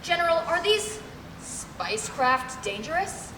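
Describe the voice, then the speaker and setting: Young actress as earnest female news correspondent, comic radio play; very high, deliberate, dramatic, distant mic in a hall. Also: big pitch swings, bright, forceful tone, exaggerated voice. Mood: earnest, improvising. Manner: dramatic, over-deliberate announcing. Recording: echoey hall, distant mic, faint noise.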